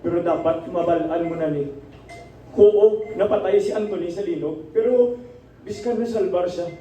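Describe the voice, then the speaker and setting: A man playing a gentle, hardworking father with a Filipino accent in an amateur radio drama. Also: sits high, normal speed, natural voice. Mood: gentle, grave. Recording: roomy, noisy, distant microphone.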